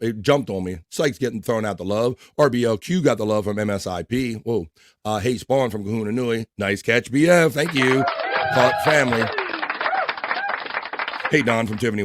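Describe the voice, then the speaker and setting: A hoarse man hosting a livestream, chatting casually and shouting out viewers' names in an upbeat way. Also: fairly low pitch, background noise. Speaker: livestream host. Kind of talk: casual chat, reading chat messages.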